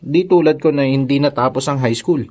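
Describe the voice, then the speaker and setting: An actor playing a feckless, easygoing young husband in a Filipino radio drama, casual and breezy. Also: male voice, medium pitch, fairly quick, darkish tone, close to an everyday voice. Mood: breezy, agreeable.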